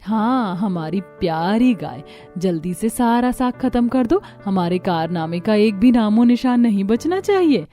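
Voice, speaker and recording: A woman's voice: mid-range voice, lively intonation, unhurried, dark tone, faint music behind, lightly acted. A woman voicing a scheming, put-upon young daughter-in-law in a cartoon story, complaining dramatically.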